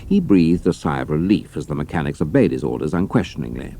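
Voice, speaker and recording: A man's voice: a bass voice, big pitch swings, fast, creaky, warm tone, slight background noise. A British man reading scripted narration for an adventure story.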